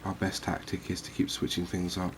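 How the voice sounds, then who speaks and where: A man giving unhurried, murmured ASMR commentary as he hosts a fishing game series. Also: deep voice, completely flat delivery, noisy background. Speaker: host of a gaming series.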